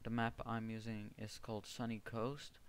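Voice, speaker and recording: A man's voice: lowish voice, little pitch movement, normal speed, smooth. A young man from the Czech Republic with a strong Czech accent, casually commenting on his gameplay and often stopping to search for words. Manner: hesitant, restarts words, searches for terms. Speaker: gaming video creator. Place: home setup with gaming PC.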